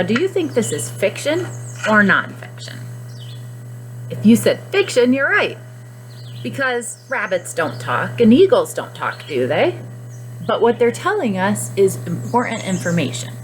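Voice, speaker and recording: A woman's voice: medium pitch, rough recording. Woman teacher reading aloud, music behind. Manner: explanatory, addressing young children directly. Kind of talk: guided picture book read-aloud with questions to young listeners.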